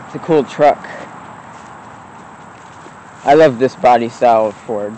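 A man's voice: medium pitch, a little slow, mellow, low-quality recording. A man casually narrating a walk around an abandoned lot with old trucks, talking offhand and rambling, recorded outdoors on a handheld phone. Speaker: vlogger exploring abandoned vehicles. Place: outdoors in an overgrown lot beside a road. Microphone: handheld phone camera. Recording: faint outdoor traffic noise. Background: faint road traffic.

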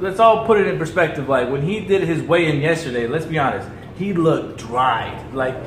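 Young male co-host, upbeat and talky, a little higher voice, roomy sound. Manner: chatty and energetic, gestures a lot. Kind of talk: casual chat. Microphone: camera a few feet away. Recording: echoey room with faint noise.

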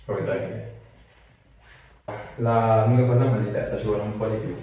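A man's voice: lowish voice, steady tone, fairly quick, mellow, muffled recording. A man, working as a chiropractor and giving short informal instructions while checking for pain. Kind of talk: hands-on treatment talk with short instructions. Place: small tiled treatment room indoors. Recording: echoey room, distant mic, faint noise.

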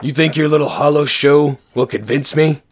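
A man's voice: fairly high pitch, fairly even intonation, moderate pace, faint music behind, lightly acted. A man playing a stubborn, sardonic captive Jedi who talks in a challenging way, in a fan audio drama. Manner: challenging, sarcastic.

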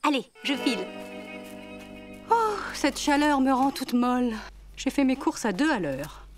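A woman voicing an affectionate, cheerful grown-up female bear in a preschool cartoon, gentle and expressive. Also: sits high, measured pace. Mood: delighted. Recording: music under the voice.

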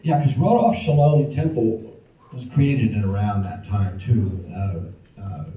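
A man's voice: fairly low pitch, slow pace, warm tone. A man moderating questions from the audience, answering briefly and calling on people. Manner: brief, encouraging replies. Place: large banquet hall. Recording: echoey hall, distant mic.

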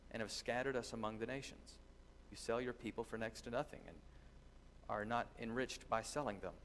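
A middle-aged man giving a sermon from a church pulpit, teaching earnestly with thoughtful pauses and reading scripture aloud. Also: fairly low pitch, fairly bright tone, a little hiss or hum. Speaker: pastor. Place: church sanctuary with congregation. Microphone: distant camera, lectern mic.

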